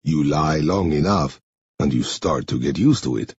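A man voicing a guarded, serious male guardian with a terse, measured manner in an audiobook. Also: extremely low pitch, expressive, a little mellow, husky, no room sound, lo-fi sound, pitched below the performer's own voice, full character voice. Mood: dry.

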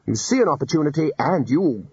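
A man reading aloud and voicing a brisk, hearty elderly male wizard leader. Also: a little high, expressive, a little mellow, breathy edge, no room sound. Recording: dull lo-fi audiobook recording.

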